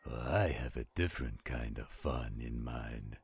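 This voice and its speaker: A man's voice: extremely low pitch, measured pace, vocal fry, husky, full character voice. A man playing a pushy, loyal friend in a roleplay audio drama, steadily teasing and insisting. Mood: easygoing.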